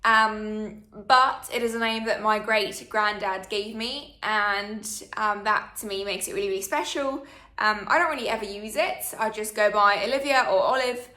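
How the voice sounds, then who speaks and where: A woman's voice: mid-range voice, moderate pace, sharp tone, roomy sound. A woman, chatting through a Q&A for her channel with plenty of fillers. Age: 20s. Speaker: vlogger. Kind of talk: casual Q&A chat.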